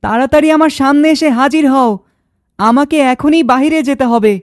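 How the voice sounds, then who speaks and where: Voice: sits high, fairly quick, dry recording, lightly acted. Actor as precocious small boy, cartoon dialogue, bossy, cocky, threatening, dry close recording. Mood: impatient.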